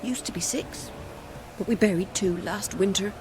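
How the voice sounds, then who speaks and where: A woman's voice: mid-range voice, moderate pace, background noise, close to an everyday voice. A woman playing a careworn, blunt old peasant grandmother in a video game. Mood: weary, pleading. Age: older. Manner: rustic, scolding, blunt. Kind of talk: video game cutscene acting.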